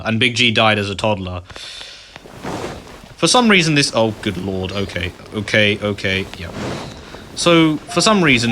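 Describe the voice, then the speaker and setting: A man's voice: medium pitch, bright, forceful tone, slight background noise. A man giving casual let's-play commentary as he plays a video game. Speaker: gamer playing and commenting. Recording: game music behind voice. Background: video game music.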